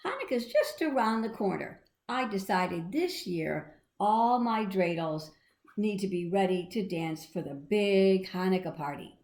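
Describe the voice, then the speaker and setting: A woman's voice: mid-range voice, animated, unhurried, a little mellow. A woman voicing an eager, earnest, determined little girl from a storybook. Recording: echoey room.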